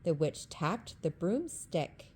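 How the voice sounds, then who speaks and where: A woman reads a rhyming bedtime story in a calm, lulling rhythm. Her voice is low-pitched and mellow, with an unhurried pace. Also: a little hiss or hum. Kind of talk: bedtime story read-aloud, ASMR.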